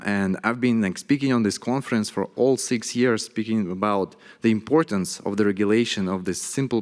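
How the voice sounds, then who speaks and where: A man's voice: lowish voice, a little mellow. A man speaking on a conference panel about his work, earnest, with stumbling restarts. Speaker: panelist, stablecoin issuer. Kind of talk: panel discussion. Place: conference hall stage. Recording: long reverb of a conference hall.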